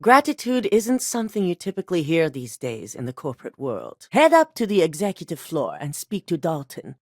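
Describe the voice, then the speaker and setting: A woman's voice: low voice, wildly swooping pitch, normal speed, no echo, clean studio sound, exaggerated voice. An actress playing a cold, commanding female corporate executive in a video game, speaking in a curt, businesslike way. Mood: cold authority.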